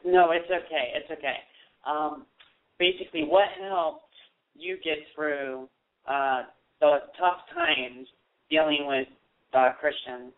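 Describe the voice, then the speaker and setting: Gravelly, hesitant male co-host. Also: on the high side, sing-song, slow. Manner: hesitant, lots of ums, rephrasing. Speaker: radio co-host. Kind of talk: call-in radio interview. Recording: phone-like lo-fi sound.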